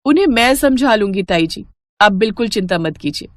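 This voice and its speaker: A woman voicing a gentle, caring young daughter-in-law who speaks politely and softly. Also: medium pitch, animated, brisk, dry recording, studio quality, natural voice. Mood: tender.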